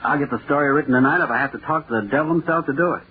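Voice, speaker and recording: An actor playing a nervous, overworked male author in 1940s radio drama, halting and muttering to himself. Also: fairly high pitch, lightly acted. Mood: weary, wry. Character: jittery, overworked male horror-play author, restless and self-doubting. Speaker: radio playwright dictating a script. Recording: scratchy, noisy, tinny old radio broadcast.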